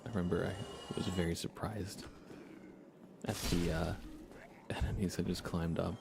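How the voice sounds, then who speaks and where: Male ASMR game streamer: very low, gravelly, hushed and casual, with clear background noise. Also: steady tone, measured pace. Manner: hushed, often slipping into whisper. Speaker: ASMR livestreamer. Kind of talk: soft-spoken ASMR gaming commentary, casual.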